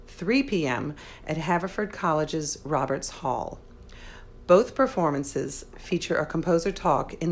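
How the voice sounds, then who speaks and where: A woman hosting a podcast interview, reading announcements and asking questions with short affirmations. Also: deep voice. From New Orleans. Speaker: podcast host, arts director.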